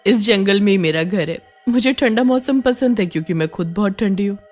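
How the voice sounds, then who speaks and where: A woman's voice: mid-range voice, animated, fairly quick, faint music behind, close to an everyday voice. A woman voicing a young cold witch who poses as a college girl, taunting and sinister, in a horror story. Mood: sly, teasing. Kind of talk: acted dialogue in horror audio story.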